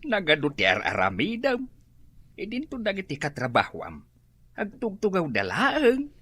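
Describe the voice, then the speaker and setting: A woman's voice: mid-range voice, wildly swooping pitch, a little slow, fairly bright tone, slightly rough, exaggerated voice. A woman voicing a fussy, gossiping male office worker in an Ilocano radio comedy.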